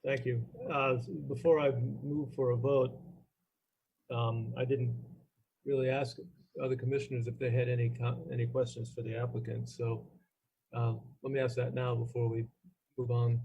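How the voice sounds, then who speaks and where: A man chairing a formal meeting as its chairman, speaking in a halting, procedural way. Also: mid-range voice, flat delivery, gravelly, a little mellow, breathy edge, lo-fi sound. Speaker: commission chairman. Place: remote meeting call.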